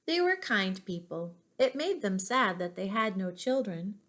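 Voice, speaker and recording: A woman's voice: medium pitch, very animated, measured pace, fairly bright tone. A woman teacher reading stories aloud to young children, stopping for questions and asides to her listeners.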